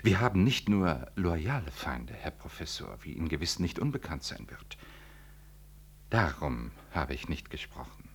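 A solemn priest from a 1960s radio drama, with a remarkably deep bass voice. He declaims in careful, formal phrases with earnest, self-righteous weight and dignified emphasis. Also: sing-song, unhurried, a little hiss or hum, heavily acted. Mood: solemn, fervent.

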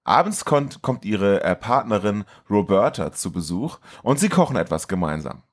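A man's voice: fairly low pitch, big pitch swings, normal speed. A man co-hosting a film podcast in a casual, chatty style. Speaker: podcast co-host.

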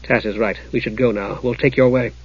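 A man voicing a gentle, earnest young male mage in a fantasy audiobook, restrained and a little uneasy. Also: medium pitch, fairly even intonation, fairly quick, slightly rough, dull audio, pitched below the performer's own voice. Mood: reassuring.

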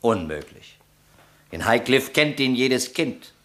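Skeptical police detective, swinging intonation. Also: male voice, medium pitch, sing-song, a bit bright. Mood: skeptical, needling. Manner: brisk, questioning, dismissive. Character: skeptical, needling male police detective on a murder case. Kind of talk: vintage German crime radio play acting.

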